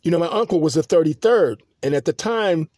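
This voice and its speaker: A man hosting a podcast, telling a long personal story in a halting, rambling monologue full of restarts and fillers. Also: a little high, lively intonation, darkish tone, no echo, studio quality. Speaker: podcast host.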